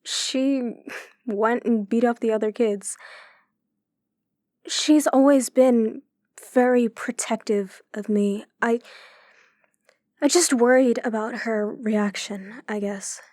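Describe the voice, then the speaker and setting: An actress in an audio drama playing a gentle, troubled woman who speaks hesitantly and confidingly. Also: a little high, fairly even intonation, takes it slowly, pauses often, no echo. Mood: sad, confiding. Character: gentle, troubled woman opening up to her brother.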